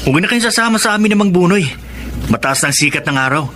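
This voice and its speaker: A man's voice: high-pitched, lively intonation, quick pace, background noise. A man playing a hardworking, protective young rural son in a Filipino radio drama. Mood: concerned, insistent.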